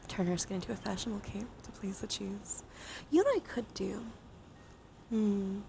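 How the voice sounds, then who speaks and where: A woman live streamer speaking softly, often in a whisper, in a gentle ASMR style mixed with casual chat. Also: mid-range voice, moderate pace. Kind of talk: ASMR, soft chatty livestream talk. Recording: clear steady background noise.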